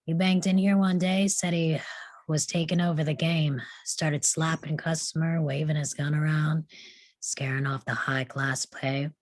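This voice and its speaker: A woman's voice: sits low, steady tone, a little slow, no room sound. A woman playing a weary, sardonic male saloon owner in a western table read. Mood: weary, disgusted.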